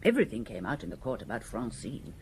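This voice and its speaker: Detective's wife in an audio drama: animated intonation, sympathetic, plain-spoken, faint ambience behind her. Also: very deep voice, fast talker, slight background noise, full character voice. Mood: indignant. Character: detective's wife, familiar, gently sympathetic woman. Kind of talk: radio drama acting.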